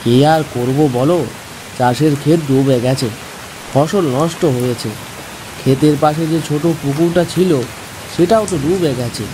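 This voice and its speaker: A man's voice: fairly high pitch, moderate pace, noisy background, natural voice. A man playing a poor, honest village farmer in a cartoon, earnest and plaintive. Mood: resigned. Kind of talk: cartoon voice acting.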